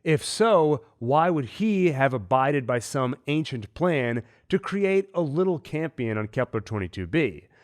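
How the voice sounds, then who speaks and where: A man hosting a TV recap, talking with a cold in a measured, analytical way. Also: mid-range voice, big pitch swings. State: has a cold. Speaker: host. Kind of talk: TV episode recap and theories.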